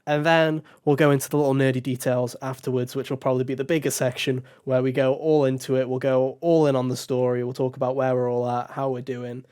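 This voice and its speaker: A man with a raspy, slightly hoarse voice hosts a casual gaming podcast, joking and rambling. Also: mid-range voice, level tone, quick pace, few pauses, darkish tone. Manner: joking, self-mocking, rambling. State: hoarse, recovering from covid. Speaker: podcast host.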